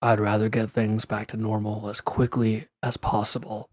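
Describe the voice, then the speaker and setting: A man acting a gruff, guarded former villain in a roleplay audio drama, curt and grumbling, reluctant to lean on anyone. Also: on the low side, completely flat delivery, normal speed, no room sound, lightly acted. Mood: guarded, stubborn. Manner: curt, grumbling, defensive, with sighs and hesitant breaks. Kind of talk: scripted roleplay audio drama addressed to the listener.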